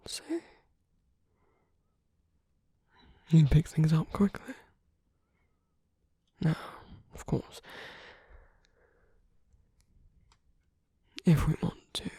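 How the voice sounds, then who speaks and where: A woman performing an ASMR roleplay as a suave, teasing, flirtatious villain, in a breathy, husky half-whisper with slow, coaxing murmurs. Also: mid-range voice, dark tone, dry recording, full character voice. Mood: coaxing, smug. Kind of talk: ASMR roleplay, intimate scripted monologue.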